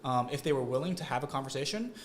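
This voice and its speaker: An American man talks through his answers in a relaxed interview, his voice sitting in a middle register. He leans on fillers and checks in with "right?" as he goes. A faint hiss of background noise sits under the recording. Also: medium pitch, rapid-fire. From United States. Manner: thoughtful, frequent fillers and "right?" tags. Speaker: guest. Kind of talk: conversational interview.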